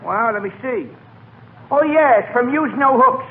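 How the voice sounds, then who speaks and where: A man's voice: very high voice, expressive, unhurried, reverberant, heavily acted. An actor playing a befuddled, folksy delivery man in a 1940s radio comedy. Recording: tinny, hissy 1940s radio recording.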